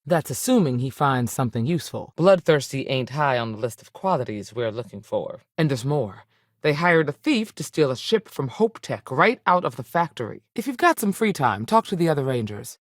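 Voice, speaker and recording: A woman's voice: a bass voice, big pitch swings, normal speed, no room sound, clean studio sound, full character voice. A woman playing a strict, principled frontier ranger in a video game, plain-spoken and authoritative with a folksy turn. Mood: steady, firm. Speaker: video game character actor. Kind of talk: scripted game dialogue.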